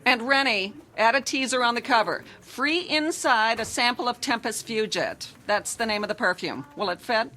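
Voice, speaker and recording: Curt, demanding magazine boss. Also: female voice, fairly high pitch, lively intonation, normal speed, hardly any pauses, bright, forceful tone, faint music behind. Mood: brisk. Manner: curt, bossy. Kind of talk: radio drama acting.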